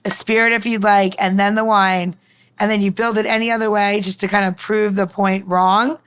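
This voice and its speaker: A woman's voice: mid-range voice, little pitch movement, normal speed, fairly bright tone, clear, resonant tone. A woman mixologist giving a casual, informal demonstration, chatting and explaining as she goes. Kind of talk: casual cooking-style demonstration.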